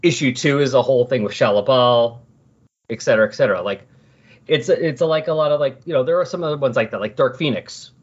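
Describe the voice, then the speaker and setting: A man's voice: mid-range voice, fast talker, slightly rough, lo-fi sound. A man hosting a podcast in casual talk, rambling and correcting himself as he goes. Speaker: podcast host.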